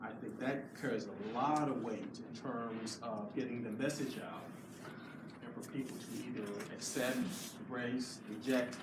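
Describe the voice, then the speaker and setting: A man's voice: mid-range voice, steady tone, measured pace. Guy in the audience speaking up, far from the mic, roomy hall with noise behind. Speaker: audience member asking a question. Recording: distant voice in an echoey hall with background noise.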